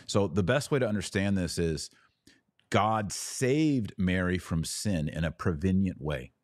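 Male podcast teacher, deep register, very dry recording, didactic and emphatic, answering listeners with weighted key words. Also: lively intonation, a little slow, no room sound. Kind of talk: live theological teaching and viewer Q&A.

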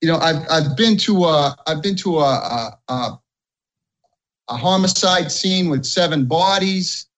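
A middle-aged man, a retired police officer from the Boston area, answering interview questions haltingly with many pauses and ums. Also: a little high, lively intonation, fairly bright tone, low-quality recording.

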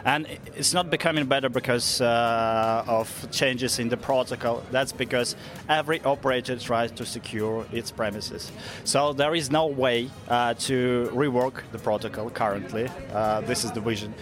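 Brief: Russian man, non-native speaker, measured explainer, mid pitch, recorded at an event with clear music behind. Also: fairly even intonation. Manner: measured, explanatory, frequent "uh" and "let's say". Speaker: interviewed security researcher. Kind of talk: conversational interview answers. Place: conference event venue.

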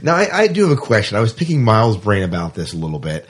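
A man with a slightly low, husky voice hosts a casual chat show. He puts his questions informally and is happy to play the one who knows less. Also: sing-song, rapid-fire. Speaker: podcast host.

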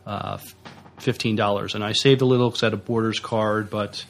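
A man co-hosting a casual podcast, speaking haltingly and often repeating words as he goes. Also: lowish voice, steady tone, gravelly, faint music behind. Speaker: podcast co-host. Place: small closed room.

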